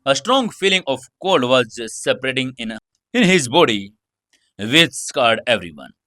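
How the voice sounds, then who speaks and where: A man's voice: mid-range voice, sing-song, a little slow, bright, forceful tone, no echo, studio quality. A man narrating a dramatized story from a script, now and then stumbling over his words. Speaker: audiobook narrator.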